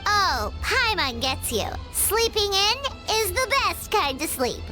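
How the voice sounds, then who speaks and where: A woman voicing a tiny, childlike, excitable floating companion in a video game, squeaky and full of curiosity. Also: slow, over music, full character voice. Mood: playful, flustered. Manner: refers to self in third person, exclamatory. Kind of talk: video game character acting.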